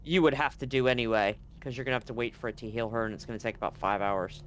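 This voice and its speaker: A man's voice: medium pitch, steady tone, quick pace, fairly bright tone, slight background noise. A man acting as game master, casually narrating a tabletop roleplaying game with bantering, rambling asides.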